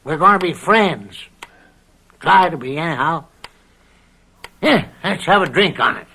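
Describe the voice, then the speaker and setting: An actor playing a scheming, controlling older farm uncle with drawn-out, falsely kindly wheedling in an old radio mystery drama. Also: male voice, on the high side, animated, gravelly, bright, forceful tone, husky, exaggerated voice. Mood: oily, coaxing.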